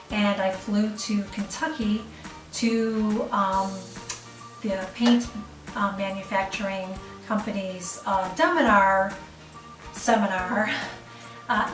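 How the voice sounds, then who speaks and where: A woman's voice: mid-range voice, distant mic. Midwestern lady rambling to camera, echoey room, faint music behind. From Chicago, US. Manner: chatty, rambling with fillers. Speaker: vlogger. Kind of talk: casual chat to camera.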